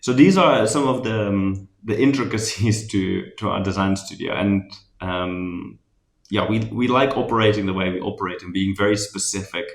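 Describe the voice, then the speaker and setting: A man from the Netherlands with a Dutch accent, giving a relaxed, rambling recap of a design workshop he presented, with many ums and restarts. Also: low voice, normal speed, gravelly, roomy sound.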